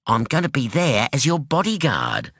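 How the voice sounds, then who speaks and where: A man voicing a sharp, defiant young woman who talks bluntly and insistently, in an audiobook. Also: medium pitch, huge pitch swings, normal speed, no room sound, studio quality, voice pushed up, exaggerated voice. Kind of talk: audiobook dialogue.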